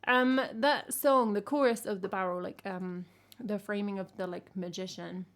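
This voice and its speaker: A woman from England with a croaky voice, chatting casually with her live-stream chat as a singer-songwriter and teasing herself as she goes. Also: medium pitch, steady tone, moderate pace, fairly bright tone. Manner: chatty, self-teasing, hums melody snippets.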